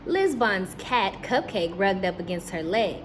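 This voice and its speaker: A woman's voice: mid-range voice, sing-song, measured pace, noisy background. A woman teacher reading a story aloud to young children, breaking off to question and explain things to her listeners.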